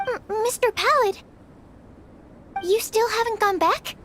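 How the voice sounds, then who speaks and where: A woman's voice: very high voice, takes it slowly, a bit bright, slight background noise, full character voice. A woman playing a shy, earnest young female alchemist in a video game, polite, hesitant and easily flustered. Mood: concerned. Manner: hesitant, stammering, polite, apologetic. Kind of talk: English game dub voice acting. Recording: game music behind the voice.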